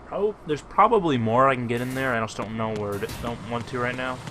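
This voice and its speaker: A man's voice: medium pitch, brisk, bright, forceful tone. A man giving casual, wry game commentary in an offhand, muttering way as he plays. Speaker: let's player. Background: game music and sounds.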